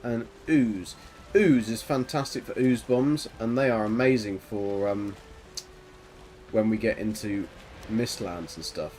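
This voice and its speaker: Soft-voiced man streaming a game. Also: fairly low pitch, unhurried. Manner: mumbles to himself while sorting items, chatting to viewers. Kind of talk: relaxed live gameplay commentary.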